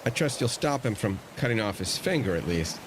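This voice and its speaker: Man acting a fretful uncle with swooping pitch. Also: sing-song, brisk, noisy background. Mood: concerned, wry. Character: fretful, protective middle-aged uncle, ex-navy coast guard. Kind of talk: audio drama dialogue.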